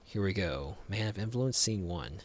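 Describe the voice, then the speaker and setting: Halting man with a lowish voice. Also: lively intonation, very noisy. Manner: halting, repeats and trails off mid-phrase. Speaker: let's play video game commentator. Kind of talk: casual gameplay commentary. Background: game music.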